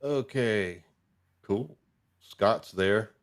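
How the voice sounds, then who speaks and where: A man with a fairly low voice hosts a relaxed-format chat. He talks informally and off the cuff, with small chuckles between remarks. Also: drawn-out words. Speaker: show host. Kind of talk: casual chat.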